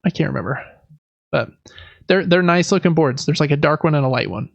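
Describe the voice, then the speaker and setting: A man's voice: a little high, expressive, a little fast, creaky, no echo, muffled recording. A man chatting casually and enthusiastically as a podcast host. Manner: enthusiastic, informal. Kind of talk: casual board game podcast chat.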